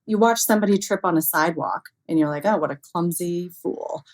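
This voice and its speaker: A woman with a low-pitched voice talks as an expert guest in an interview. She explains ideas conversationally, in informal language, often through everyday examples and quoted reactions. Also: a little fast. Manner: frequently chuckles, informal, illustrates with acted-out quotes.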